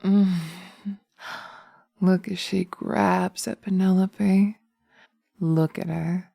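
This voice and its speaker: A woman playing a seductive, vengeful witch in slow hypnotic audio roleplay, breathy and often whispering, teasing and commanding, close to the mic. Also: on the low side, steady tone, mellow, dry recording, exaggerated voice. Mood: sultry, teasing. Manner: sultry, drawn-out, teasing, commanding. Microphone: close microphone, dry binaural recording.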